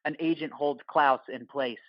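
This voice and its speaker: A man reads scripted audio drama narration in terse, clipped phrases. His pitch is medium, and the recording is dry and slightly dull. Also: fairly even intonation, normal speed, no echo.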